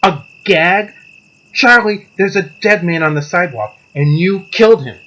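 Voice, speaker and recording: A woman's voice: lowish voice, animated, moderate pace, low-quality recording. A woman playing an outraged voice of reason facing down a mob in a radio play, indignant in delivery. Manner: forceful, indignant.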